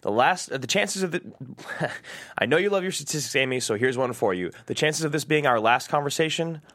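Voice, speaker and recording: A man reads a young comedy boyfriend, confused and wounded, with a bitter edge. His voice swings widely in pitch and sounds close, with no room around it. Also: expressive, brisk, fairly bright tone, no room sound. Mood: hurt, bitter. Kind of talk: comedic screenplay table read.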